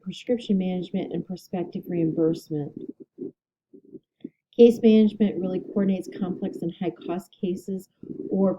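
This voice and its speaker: A woman instructor giving a recorded lecture, her voice a little hoarse, explaining steadily with ums and self-corrections. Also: fairly low pitch, highs cut off, slight background noise. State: slightly hoarse, clearing throat.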